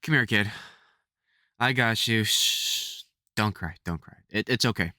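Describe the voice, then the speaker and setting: An actor playing a gruff, protective vampire hunter who swears and stammers under worry in an audio roleplay. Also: mid-range voice, measured pace, bright, forceful tone, no room sound, studio quality. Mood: soothing. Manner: sweary, urgent, stammering when worried. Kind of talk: audio roleplay drama spoken to the listener.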